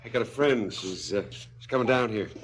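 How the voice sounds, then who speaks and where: An actor playing a former professor turned amoral junior partner in crime, offhand, evasive and mildly defiant, in a radio drama. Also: male voice, on the low side, normal speed, noisy background, close to an everyday voice. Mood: casual, hopeful. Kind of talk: stylised 1970s radio drama acting.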